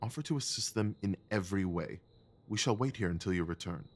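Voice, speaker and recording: A man acting a self-assured, decisive detective in an audio drama, speaking with calm, deliberate authority. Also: low-pitched, slight background noise, lightly acted. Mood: reassuring, directing.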